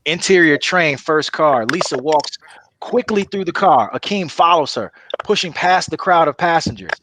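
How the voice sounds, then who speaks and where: Higher-pitched man narrating a script. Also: expressive, normal speed, noisy background. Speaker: narrator of a table read. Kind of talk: scripted narration with loose improvised asides.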